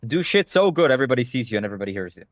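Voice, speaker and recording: Young man, native Hebrew speaker, blunt and emphatic, lively swooping pitch, dry close sound. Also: very fast, no echo. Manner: blunt, emphatic, swears freely. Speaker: streamer answering chat. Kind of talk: casual livestream rant.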